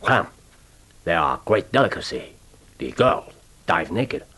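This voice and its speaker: An actor playing a jovial, ruthless spymaster with an expansive, commanding, teasing manner in a radio drama. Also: male voice, deep voice, sing-song, a little slow, bright, forceful tone, husky, heavily acted. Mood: informative. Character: jovial, ruthless Japanese male spymaster briefing an agent. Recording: orchestral film score behind the voice.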